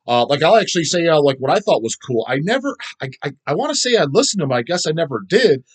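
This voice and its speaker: A man's voice: on the high side, very animated, fast, slightly rough. A man hosting a casual video show, rambling through his opinions in a blunt, profane way and repeating words for emphasis. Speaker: host of a video show about underground death metal. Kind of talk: casual rambling opinion vlog, answering viewer comments.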